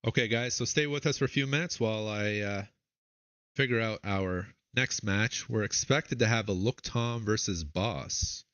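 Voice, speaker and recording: A man casting a strategy game tournament, chatting with a co-caster and interviewing a player, with frequent drawn-out fillers. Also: fairly low pitch, fairly bright tone, no room sound. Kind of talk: live game commentary and interview.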